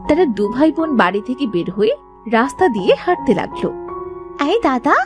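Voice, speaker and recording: A woman's voice: a little high, big pitch swings, fairly quick, over music. A woman reading scripted narration for a children's cartoon story.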